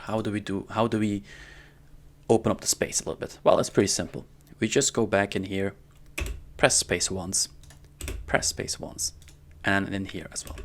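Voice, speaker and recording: A man giving a casual, step-by-step coding tutorial in an informal way, often checking in with the listener, with a gravelly voice. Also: on the low side, a bit bright. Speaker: programming tutorial instructor. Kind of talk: casual screen-recorded coding lesson. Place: indoors at a computer.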